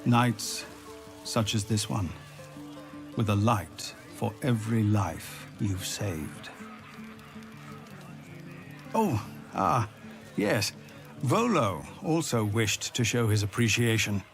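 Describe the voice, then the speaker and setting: A man playing a gracious, thoughtful leader in a fantasy video game, speaking in a measured, formal and courteous way. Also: fairly low pitch, expressive, music in the background. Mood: solemn, tender, then awkward. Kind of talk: video game cutscene acting.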